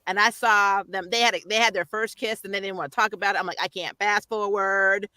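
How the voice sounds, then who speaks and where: A woman with a very bright, forceful voice, recorded close and dry. She talks as the host of a casual recap show, ranting emphatically and with open exasperation, and she laughs easily. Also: mid-range voice, fairly even intonation, brisk, no room sound. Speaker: host of a pop culture review show.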